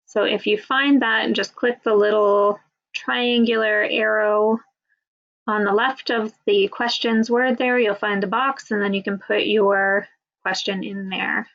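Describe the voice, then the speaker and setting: A woman's voice: medium pitch, steady tone, unhurried. A woman moderating a webinar, giving friendly instructions to attendees and introducing the speaker. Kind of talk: hosting a webinar. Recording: dull lo-fi webinar audio.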